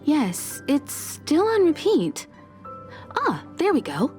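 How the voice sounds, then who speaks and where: A woman's voice: high-pitched, wildly swooping pitch, slow, darkish tone, soft background music, heavily acted. A woman voicing a poised, assured starship navigator in a video game, composed and professional. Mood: observant.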